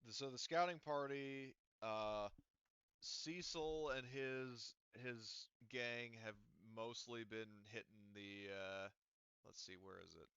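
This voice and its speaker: Rambling game master man, clear voice. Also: mid-range voice, fairly bright tone, no echo. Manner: rambling, filler-heavy, halting. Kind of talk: running a tabletop roleplaying game. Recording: dull, lo-fi.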